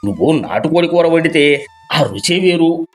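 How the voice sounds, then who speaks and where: A man voicing an enthusiastic, food-loving village friend who exclaims and gushes in an animated moral story. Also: fairly high pitch, normal speed, faint music behind, lightly acted. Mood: delighted. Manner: gushing, exclaiming.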